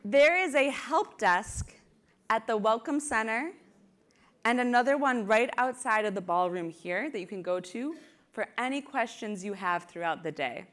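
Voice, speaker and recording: Bright, high-voiced woman hosting a convention. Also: expressive, moderate pace. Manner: upbeat, hypes the crowd, laughs easily. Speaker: convention organizer and host. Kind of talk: live event hosting and announcements. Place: large ballroom.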